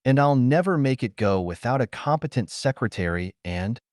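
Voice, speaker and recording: A man's voice: mid-range voice, expressive, normal speed, darkish tone, no room sound, studio quality, pitched above the performer's own voice, lightly acted. A man playing a flippant, wisecracking television producer in an audiobook, with airy, sarcastic banter. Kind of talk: audiobook character dialogue.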